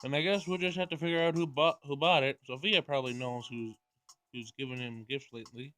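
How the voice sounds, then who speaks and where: A man's voice: mid-range voice, fairly even intonation, brisk, a bit bright, no echo. A man giving casual gameplay commentary and reading game dialogue aloud, with blunt, sarcastic asides.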